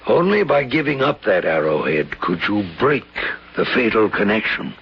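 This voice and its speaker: An actor playing a grave man who solemnly explains a curse in an old radio drama, with a husky, gravelly voice. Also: fairly low pitch, very animated, normal speed, a little hiss or hum. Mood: solemn, portentous. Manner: solemn, portentous. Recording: dull old radio recording.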